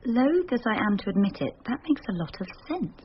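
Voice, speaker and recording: A young woman a tart, self-doubting grown daughter in a radio drama. Her voice is fairly high and her delivery dry and sardonic. The sound is a little dull, with faint noise. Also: sing-song, brisk. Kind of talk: naturalistic radio drama dialogue.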